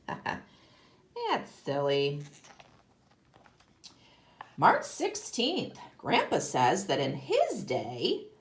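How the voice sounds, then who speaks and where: A woman kindergarten teacher reading a picture book aloud expressively to young children, pausing to ask them questions. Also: deep voice. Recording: roomy, echoey sound.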